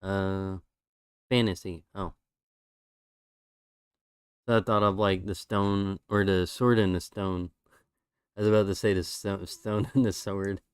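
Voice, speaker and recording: Low, flat-voiced male streamer. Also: measured pace, long pauses, smooth, no room sound, clean studio sound. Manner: relaxed, rambling with fillers. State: losing his voice. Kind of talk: casual chat showing his drawings.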